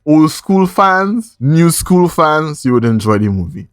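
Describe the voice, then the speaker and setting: A man's voice: fairly high pitch, sing-song, a little slow, clear, resonant tone, no room sound, faint music behind. Trinidadian man hosting, very dry sound. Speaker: podcast host. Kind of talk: casual movie review chat.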